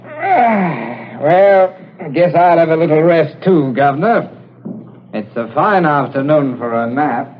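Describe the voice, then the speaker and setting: Brief: a breezy young adult son in a 1940s radio play, lively and slightly high, heard over a tinny broadcast. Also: male voice, slow. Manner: breezy, offhand. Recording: tinny old radio broadcast, faint hiss.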